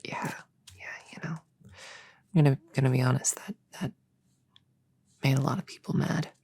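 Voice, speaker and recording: Young novice witch played by a woman, low-pitched and breathy, friendly but unsure of herself, hushed ASMR roleplay delivery. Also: normal speed, no room sound, exaggerated voice. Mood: playful, relaxed. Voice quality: breathy, soft. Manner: hesitant, rambling, intimate chatter to a friend. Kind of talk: soft ASMR roleplay, partly whispered.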